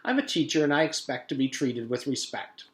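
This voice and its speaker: A man's voice: medium pitch, a little fast, fairly bright tone, natural voice. Man playing a pompous, stuffy playground supervisor, roomy echo. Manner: pompous, officious. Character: stuffy, rule-obsessed male playground supervisor.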